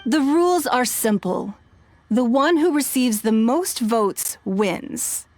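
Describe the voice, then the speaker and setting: An actress playing a poised, authoritative noblewoman acting as judge in a game cutscene, with a measured, formal delivery. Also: fairly high pitch, expressive, darkish tone, exaggerated voice. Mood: composed.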